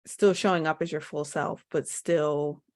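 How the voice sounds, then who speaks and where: A woman hosts a podcast interview with a slightly low voice. She talks casually and rephrases her long questions as she goes. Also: fairly even intonation, a little slow. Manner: conversational, long searching questions. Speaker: podcast host. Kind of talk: interview conversation.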